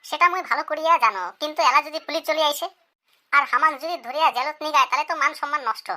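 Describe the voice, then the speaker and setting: A woman's voice: high voice, very fast, sharp tone. A woman voicing a young female cartoon character in a comic, exaggerated, sing-song way. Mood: worried. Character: young female: doting mother or shy village schoolgirl.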